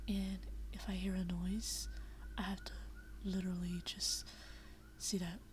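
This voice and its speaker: A young woman whispering quietly at night in a living room, chatting unscripted with many fillers and restarts. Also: lowish voice, flat delivery, unhurried, a little hiss or hum. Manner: rambling with frequent fillers and restarts. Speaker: VRChat vlogger. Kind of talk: unscripted casual chat.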